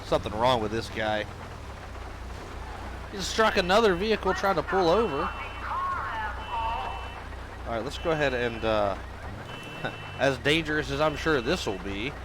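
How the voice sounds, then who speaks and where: A man with a cold talks loosely through his police game and switches to loud barked orders during traffic stops. His voice sits at an ordinary pitch, and a steady wash of noise runs under it. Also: measured pace, pauses often. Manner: barks loud commands at suspects. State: sick with a cold. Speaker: gameplay video host. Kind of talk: casual commentary while playing a police game.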